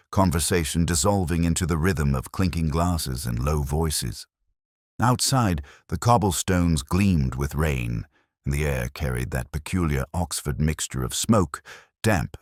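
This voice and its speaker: A man reading a scripted first-person detective story in a measured, literary and brooding manner. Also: a bass voice, dry recording, clean studio sound. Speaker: narrator.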